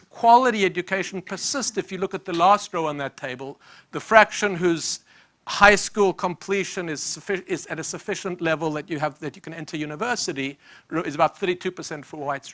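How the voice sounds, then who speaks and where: An older South African man lectures at a panel, his voice bright and a touch high for a man. He talks in a measured, data-heavy way, breaking off and restarting phrases as he goes. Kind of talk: academic panel talk.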